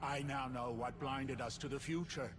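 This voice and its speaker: An actor playing a cryptic male seer who speaks of fate in a measured, solemn way. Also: mid-range voice, fairly even intonation, noisy background, lightly acted. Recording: reverberant hall with faint ambience.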